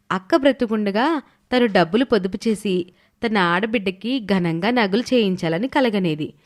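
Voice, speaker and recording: A woman's voice: medium pitch, close to an everyday voice. A woman playing a stubborn, thrifty young village wife who explains herself earnestly in a moral story cartoon. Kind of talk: animated moral story voice acting.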